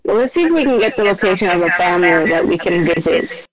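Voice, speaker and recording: A woman playing in a tabletop game session, chatty and planning out loud. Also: lowish voice, animated, quick pace, a loud crowd, rough recording. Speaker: player.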